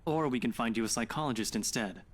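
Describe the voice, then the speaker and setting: A man's voice: medium pitch, fast, natural voice. Guy playing cocky skeptical game dude, medium voice, glib teasing talk. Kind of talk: visual novel voice acting.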